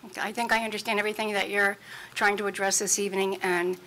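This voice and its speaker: Woman chairing a town meeting: bright tone, polite and procedural, faint room noise behind. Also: mid-range voice, little pitch movement, quick pace, poor audio.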